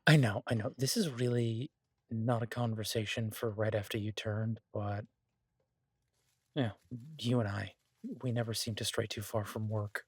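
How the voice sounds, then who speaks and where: A man playing an easygoing, earnest chef boyfriend in an intimate fantasy audio roleplay, talking in a halting, reassuring, conversational way. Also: lowish voice, steady tone, husky, dry recording, studio quality.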